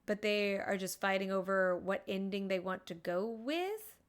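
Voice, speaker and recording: A woman's voice: medium pitch, measured pace, clean tone. A woman live-streaming a game, commenting casually with wry, sarcastic asides. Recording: game music behind the voice. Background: video game music.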